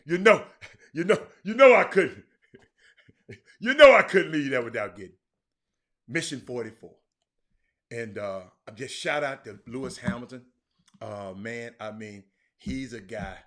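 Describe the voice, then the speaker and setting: A man's voice: mid-range voice, sing-song, unhurried, pauses often, fairly bright tone. An American man hosting a casual sports livestream, chatting to viewers in a folksy way and repeating phrases for emphasis. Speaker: sports talk show host.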